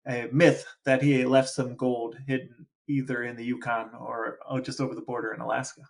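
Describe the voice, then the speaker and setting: A man's voice: medium pitch, level tone, moderate pace, vocal fry. A man co-hosting a podcast with his brother, reading out lists and asking playful questions in casual chat. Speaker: podcast co-host.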